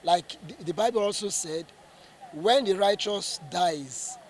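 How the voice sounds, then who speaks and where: High-pitched Nigerian man, halting and emphatic. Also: slight background noise. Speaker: stage actor being interviewed. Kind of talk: interview explanation.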